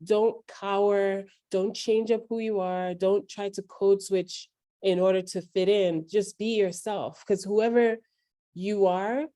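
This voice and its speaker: A woman's voice: mid-range voice, dark tone, no room sound. Dry-voiced woman with a Nigerian-New York accent. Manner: earnest, advising, speaks up plainly. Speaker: guest. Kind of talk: interview conversation.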